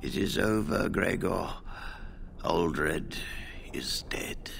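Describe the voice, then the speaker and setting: A weary, grateful old mage leader from a fantasy game. The voice is cavernously low and full of gravel, slow and formal with a breathy grumble, music swelling behind it. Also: male voice, fairly bright tone, whispered, exaggerated voice. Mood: solemn. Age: older.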